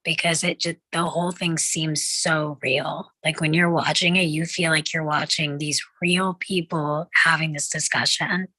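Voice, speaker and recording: A woman in a casual group discussion, working through her thoughts in halting phrases with a lot of "like". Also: low-pitched, fairly even intonation, pushed and bright, no echo. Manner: halting, filler-heavy phrasing with frequent "like".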